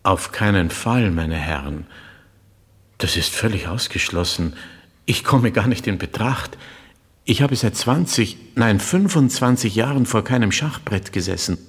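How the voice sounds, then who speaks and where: A man's voice: on the low side, animated, unhurried, husky, big-room sound, full character voice. A man playing a courteous, agitated middle-aged stranger in a radio drama, speaking with urgent precision. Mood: flustered, declining. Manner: urgent, precise, formally polite. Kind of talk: literary radio drama acting.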